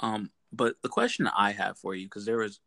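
Low-voiced man hosting a podcast. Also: lively intonation, moderate pace, creaky, bright, forceful tone, no echo, clean studio sound. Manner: loose, rambling, many fillers. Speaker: podcast host. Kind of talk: casual interview chat.

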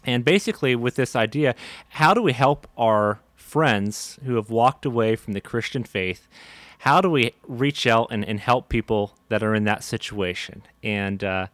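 A man's voice: mid-range voice, normal speed, a bit bright, dry recording. A man hosting a podcast, introducing his guest with upbeat enthusiasm. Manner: enthusiastic, with fillers and restarts. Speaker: podcast host. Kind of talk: casual interview hosting.

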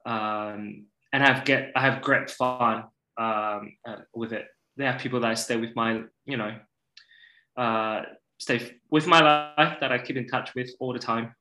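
Male guest, thirties, Malaysian accent, bright forward voice, casual chat, roomy echo. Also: mid-range voice, steady tone, a little slow. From Borneo, Malaysia. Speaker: podcast guest. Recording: echoey, roomy sound.

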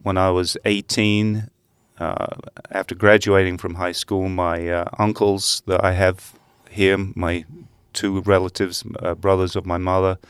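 An older man who grew up in England, a podcast guest talking easily about his life in a relaxed, rambling way. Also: low-pitched, a little slow, clean tone, no room sound. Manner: easygoing, rambling. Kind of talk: casual conversation.

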